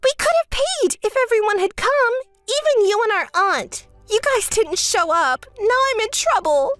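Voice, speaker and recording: A woman's voice: animated, moderate pace, a bit bright, soft background music. A woman voicing a selfish, whiny young woman who begs and complains to her sister in a story. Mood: complaining. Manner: shrill, begging, self-pitying. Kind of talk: storytelling with acted dialogue.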